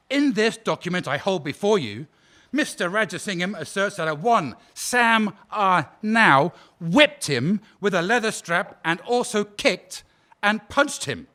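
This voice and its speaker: A man's voice: sits high, pushed and bright. A man playing a sarcastic prosecutor. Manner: probing, sarcastic cross-examination, measured and formal. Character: sharp, sarcastic prosecuting officer at a war crimes trial, male. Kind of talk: audio drama acting.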